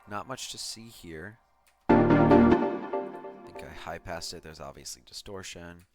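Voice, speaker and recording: A man's voice: deep voice, a little slow. A man giving an offhand, self-deprecating commentary as he breaks down an electronic track he produced. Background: music from the track being played.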